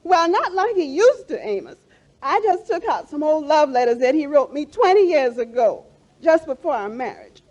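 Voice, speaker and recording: A woman's voice: high-pitched, big pitch swings, moderate pace, darkish tone, muffled recording. A woman playing a hurt, sentimental wife in a 1940s radio comedy, plaintive and emotional with folksy grammar.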